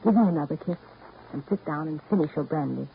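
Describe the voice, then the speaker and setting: Teasing sweetheart on tinny old radio. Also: female voice, low voice, quick pace, gravelly. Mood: coaxing, affectionate. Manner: intimate, teasing, coaxing. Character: affectionate, forthright woman, a man's teasing sweetheart. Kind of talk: 1930s radio drama acting. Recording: tinny, noisy 1930s radio recording.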